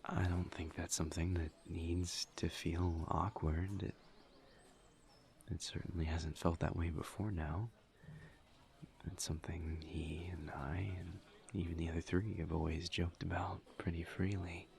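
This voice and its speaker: A man acting as a courteous incubus demon in an intimate audio roleplay. His voice is very low and full of gravelly fry, and he picks his formal words slowly, with halting, soft, breathy delivery and earnest awkwardness. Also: level tone. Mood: awkward, earnest.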